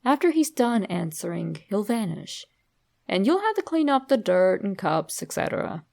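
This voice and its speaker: A woman reading a scripted horror story straight to the listener in a dramatic, deliberate way. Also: medium pitch, sing-song, gravelly, a little mellow. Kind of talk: scripted creepypasta narration, addressed to the listener.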